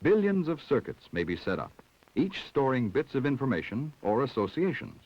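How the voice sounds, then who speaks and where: A man giving measured, scripted narration for an educational film about the brain. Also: medium pitch, sing-song. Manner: measured, explanatory. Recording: dull vintage 16mm film soundtrack.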